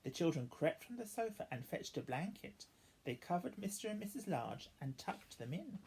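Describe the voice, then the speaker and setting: A woman's voice: lowish voice, normal speed, creaky, a little hiss or hum, low-quality recording. A woman reading a children's storybook expressively, as a storyteller who acts out the dialogue. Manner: animated, acting out each character's dialogue.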